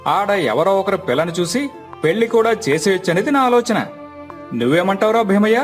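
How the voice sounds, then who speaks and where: నటుడు పోషించిన ధైర్యం చెప్పే పల్లె పెద్దమనిషి, హెచ్చు గొంతు. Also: male voice, high-pitched, animated, fairly quick, faint music behind. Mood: advising. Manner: reassuring, rustic colloquial advice. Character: practical, helpful elder village family friend. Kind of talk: dramatized moral story.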